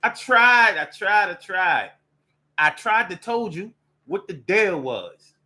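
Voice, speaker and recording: A man's voice: high voice, expressive, slow, sharp tone. An American man giving a casual, opinionated solo monologue in streetwise slang, repeating phrases for emphasis. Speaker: solo show host.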